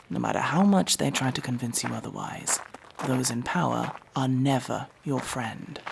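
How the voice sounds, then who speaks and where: Woman acting a gravelly, low-voiced masked elf. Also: heavily acted. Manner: curt, bossy, hushed delivery with breathy whispers. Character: masked tsundere elf rescuer, gruff, world-weary, guarded. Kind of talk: ASMR fantasy roleplay acting. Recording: faint background noise with ambience.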